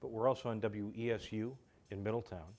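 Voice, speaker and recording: A man with a fairly low voice, talking casually as a studio guest. He is courteous and halting, often doubling back on his words. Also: fairly even intonation, a little mellow. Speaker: guest, radio show writer. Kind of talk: casual interview chat. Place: community television studio.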